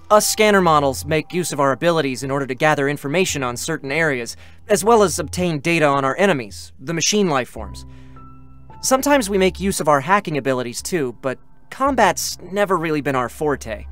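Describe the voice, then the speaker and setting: A young male scout android from a video game drama. He sounds boyish and earnest, with a very animated pitch and open, sensitive delivery. Faint music sits behind him. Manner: earnest, youthful, expressive.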